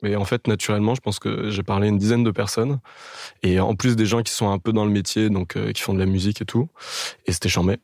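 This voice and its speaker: Low-voiced young man from Paris, relaxed. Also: fast talker, gravelly, no room sound, clean studio sound. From Paris area. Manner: relaxed, chatty, many hesitations. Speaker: guest. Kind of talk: casual interview chat.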